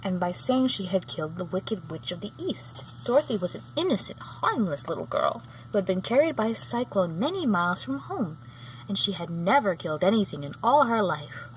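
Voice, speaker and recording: A woman narrates a children's storybook at a middle pitch. She reads a little haltingly, sometimes repeating a phrase. Clear background noise sits under the voice. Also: sing-song, poor audio. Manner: halting, often rereads phrases. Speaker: storybook reader. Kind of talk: read-aloud narration of a children's book.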